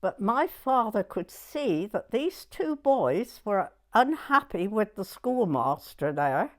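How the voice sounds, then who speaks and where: A woman in her nineties with a southern English accent, reminiscing in an unhurried, halting way in short broken phrases. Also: medium pitch, sing-song. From southern England. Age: 90s. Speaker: oral history interviewee. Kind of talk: personal reminiscence in conversation.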